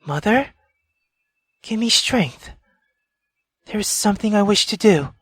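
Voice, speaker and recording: A man voicing a timid young girl in a visual novel, speaking softly and apologetically. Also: high voice, expressive, measured pace, slightly rough, no echo, heavily acted. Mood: meek pleading. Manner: soft, pleading and apologetic.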